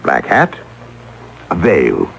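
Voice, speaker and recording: An actor playing an obsequious, excitable schemer in an old-time radio drama, fawning and hesitant. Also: male voice, rumbling low, slow, gravelly, slight background noise, heavily acted, low-quality recording. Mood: excited scheming. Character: obsequious, flustered male schemer covering up a hoax. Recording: vintage radio drama recording.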